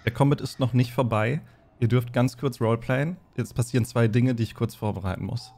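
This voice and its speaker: A man runs a tabletop role-playing game. His voice sits at a middle pitch, and he explains and narrates with deliberate, instructive phrasing. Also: steady tone, warm tone. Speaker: game master.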